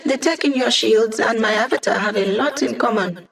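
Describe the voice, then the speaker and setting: A woman's voice: a little high, normal speed, vocal fry. Game role: young female tech expert, snarky, playful, upbeat, conversational delivery with a teasing edge. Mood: brisk, focused. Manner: wry, casual, playful teasing. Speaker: video game support character. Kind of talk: video game voice acting.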